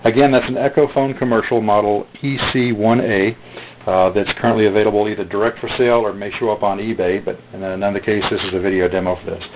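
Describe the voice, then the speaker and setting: Guy showing off a radio he's selling, says uh a lot, kinda low voice, dull lo-fi sound. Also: fairly even intonation. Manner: halting, many "uh" fillers. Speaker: radio seller demonstrating a receiver. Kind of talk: casual product demo. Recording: dull lo-fi recording.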